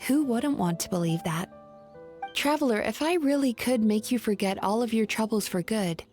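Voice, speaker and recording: Woman playing a gentle, high-voiced composed young healer. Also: soft background music, close to an everyday voice. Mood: calm, reflective. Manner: calm, measured, thoughtful. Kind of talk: anime video game voice acting.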